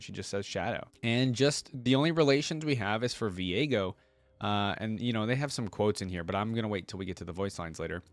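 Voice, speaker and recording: A man's voice: fairly low pitch, dry recording. A man hosting a reaction video, chatting casually and reading text aloud with frequent asides. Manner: chatty, pauses to add asides.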